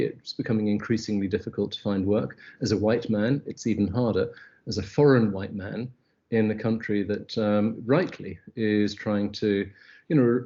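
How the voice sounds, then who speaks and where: A middle-aged Scottish man from the Highlands, a company head telling stories in a casual interview, wry, with many ums and restarts. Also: mellow, highs cut off. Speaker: agency CEO, guest. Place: South Africa, remote call.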